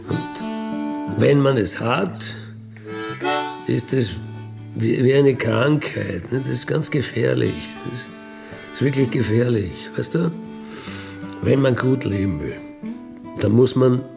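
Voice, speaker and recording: A middle-aged or older man with a gravelly voice, telling personal stories casually and emphatically in colloquial speech, often checking for agreement. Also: mid-range voice. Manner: colloquial, emphatic, seeks agreement with tag questions. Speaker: interviewee, career crook telling his life story.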